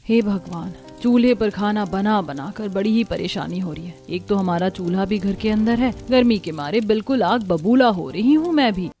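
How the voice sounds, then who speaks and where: Cartoon housewife, weary grumbler, medium pitch, over music, played by a woman. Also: animated, moderate pace, few pauses, dark tone, lightly acted. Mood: exasperated. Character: complaining village housewife, weary of the summer heat. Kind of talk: Hindi cartoon dialogue.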